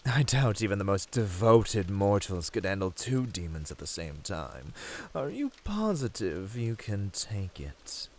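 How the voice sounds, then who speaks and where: A man's voice: fairly low pitch, sing-song, unhurried, full character voice. A man playing a smug, domineering demon with a British accent, teasing and purring theatrically in a flirtatious audio roleplay. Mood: seductive, amused.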